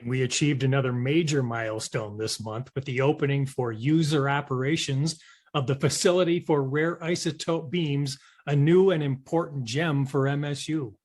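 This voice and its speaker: A man's voice: medium pitch, fairly even intonation, normal speed, a little mellow. Man, mid-range voice, show host, scripted interview questions and introductions.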